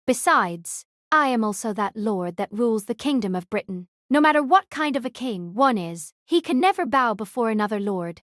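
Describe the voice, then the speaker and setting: A woman's voice: on the high side, expressive, normal speed, few pauses, fairly bright tone, no room sound, studio quality. A woman playing a proud young female knight king in an audio drama, formal, solemn and stern. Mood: proud, challenging.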